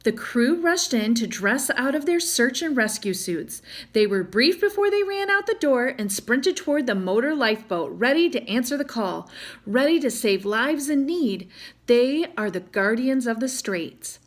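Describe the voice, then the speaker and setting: A woman's voice: on the high side, sing-song, measured pace. A woman reading a children's adventure story aloud in a dramatic manner, as if to young listeners. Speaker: storybook reader.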